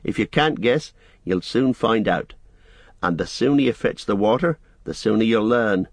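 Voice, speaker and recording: A man's voice: fairly low pitch, moderate pace, dry recording. A man voicing a plain-spoken, bossy but loyal hobbit in an audiobook, with blunt, homely, rustic speech. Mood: bossy, brisk.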